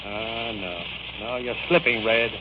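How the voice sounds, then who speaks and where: An actor playing a suave, roguish ex-husband who banters with wry mock politeness in a 1940s-style radio comedy. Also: male voice, on the low side, fairly even intonation, measured pace, natural voice. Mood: wry, needling. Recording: noisy, muffled vintage radio recording.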